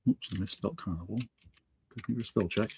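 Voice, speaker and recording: A man hosting a live online auction in a rambling, halting way, full of restarts and fillers, hoarse from allergies. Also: lowish voice, slight background noise. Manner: halting, lots of ums and restarts.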